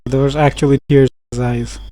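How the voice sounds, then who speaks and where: Very low-voiced woman casually reacting to a game. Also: level tone, a little fast, warm tone, a little hiss or hum, poor audio. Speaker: gaming video creator. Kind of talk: casual reactions while playing a video game.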